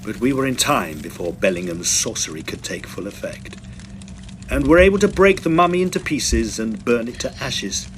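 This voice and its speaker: A male actor plays a righteous young student with a commanding, menacing delivery. His pitch is lively and varied as he speaks with grim deliberation in an audio drama. There is faint noise behind the voice. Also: moderate pace, close to an everyday voice, rough recording. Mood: grim recounting. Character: stern, righteous young male student forcing a villain at gunpoint. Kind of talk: audio drama acting and first-person narration.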